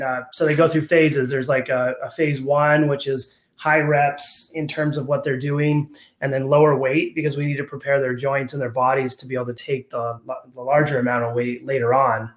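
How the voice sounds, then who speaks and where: Chatty man in his thirties, roomy sound. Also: mid-range voice. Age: 30s. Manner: relaxed, teasing, talks at length in long run-on explanations. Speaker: podcast host and youth weightlifting coach. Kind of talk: casual podcast chat. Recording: roomy echo.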